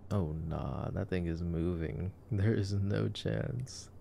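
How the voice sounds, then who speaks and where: Very gravelly, low-voiced young man. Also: takes it slowly, mellow, slight background noise. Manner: sarcastic, slangy, low-key. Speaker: ASMR roleplay performer. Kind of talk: ASMR boyfriend roleplay, casual movie commentary.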